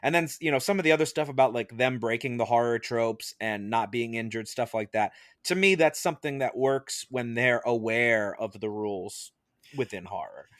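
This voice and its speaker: A man's voice: mid-range voice, normal speed, a bit bright, dry recording, studio quality. A man in casual film discussion on a comedy mock-trial podcast.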